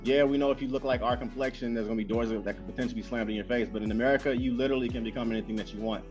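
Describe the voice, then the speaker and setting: A man hosting a casual interview, talking quickly and loosely with many fillers and repeated phrases. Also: mid-range voice. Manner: fast, animated, lots of fillers and repeats. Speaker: host. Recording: dull lo-fi sound with faint music.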